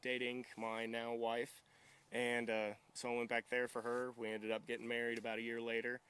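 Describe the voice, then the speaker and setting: A man's voice: medium pitch, completely flat delivery, normal speed, fairly bright tone, clean tone. A young man giving casual interview answers in a polite, modest, easygoing manner. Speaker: interview guest, military veteran and welder.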